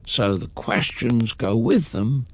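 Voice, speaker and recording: Storybook boy played by a male narrator: quiet, questioning, dreamy, unhurried. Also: medium pitch, lively intonation, no echo, voice pushed down. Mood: quietly wondering. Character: dreaming young boy, curious and hesitant.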